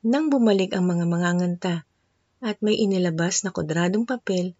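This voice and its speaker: A woman's voice: on the low side, moderate pace, warm tone, no echo, muffled recording. A woman storyteller reading a scripted romance narration.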